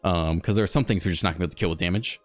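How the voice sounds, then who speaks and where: Low-voiced man casually commentating a card game. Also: very fast, no echo, faint music behind. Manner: thinks aloud, relaxed and chatty. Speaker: game video host. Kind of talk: casual live gameplay commentary.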